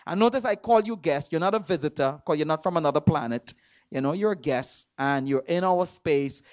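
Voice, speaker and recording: A man from Trinidad with a Trinidadian accent, preaching in a church. He stresses words hard, repeats himself and swings between loud and soft. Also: fairly high pitch, no room sound, studio quality. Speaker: guest preacher. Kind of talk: sermon. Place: church sanctuary.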